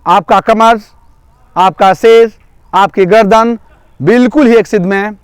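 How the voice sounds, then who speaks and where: Casting: male yoga nidra instructor, high smooth voice, repetitive step-by-step guidance, dry close sound. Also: measured pace, no echo. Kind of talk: guided relaxation instruction. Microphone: microphone through a loudspeaker.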